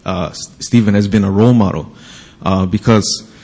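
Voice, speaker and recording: A man from Hawaii with a Hawaiian English accent, introducing panelists at an event in a halting way full of fillers. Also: fairly low pitch, steady tone, creaky, a little mellow, breathy edge, long reverb tail. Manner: halting, many fillers and pauses. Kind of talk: hesitant formal introduction.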